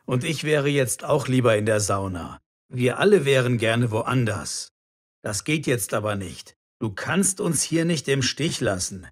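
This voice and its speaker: A man acts as an exasperated restaurant cook in a scripted lesson dialogue. His medium-pitched voice swings up and down strongly. He sounds stressed and short of staff. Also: animated, unhurried, natural voice.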